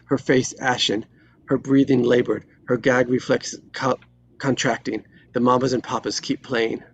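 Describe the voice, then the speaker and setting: A man reading screenplay stage directions as dramatic narration, in terse, deliberate phrases. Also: mid-range voice, flat delivery, highs cut off, a little hiss or hum.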